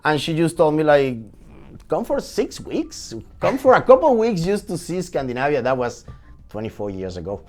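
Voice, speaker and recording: A man in his forties from Venezuela with a Venezuelan Spanish accent, a chef telling his story as a podcast guest in a lively, energetic way. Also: normal speed, soft background music. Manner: animated, energetic storytelling with swings in pitch. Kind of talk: casual podcast interview.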